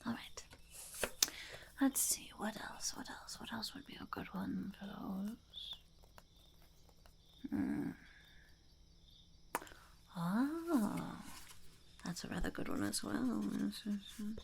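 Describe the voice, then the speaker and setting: A woman voicing a blunt, earnest female goblin shopkeeper in an ASMR roleplay, whispering and speaking softly with a gravelly creak and musing to herself. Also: mid-range voice, animated, slow pace, long pauses. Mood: musing, approving. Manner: musing, hesitant, repeating phrases to herself.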